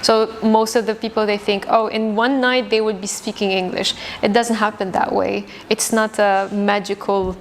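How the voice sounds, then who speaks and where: A young woman from Tunisia talks in English, her second language after Arabic, thinking aloud with many small hesitations. Her voice has a gravelly, creaky texture and sits at a middle pitch. A faint hiss runs under the recording. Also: flat delivery, moderate pace, hardly any pauses. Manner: thoughtful, with frequent hesitations and restarts. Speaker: guest English teacher. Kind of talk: casual interview chat.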